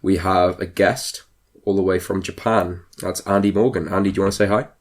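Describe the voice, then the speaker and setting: A man's voice: low-pitched, fairly even intonation, a little fast. A British man hosting a fitness podcast, friendly and loose, joking about himself. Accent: British English. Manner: friendly, loose, self-deprecating. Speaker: podcast host. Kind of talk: casual interview hosting.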